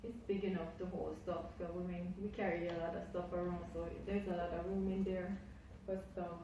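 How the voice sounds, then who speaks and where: A woman's voice: on the low side, level tone, brisk, very echoey, distant mic, background noise. A Jamaican woman vlogging casually to her camera, effusive and repetitive as she piles up her thanks. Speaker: YouTuber. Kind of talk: casual vlog talk to camera.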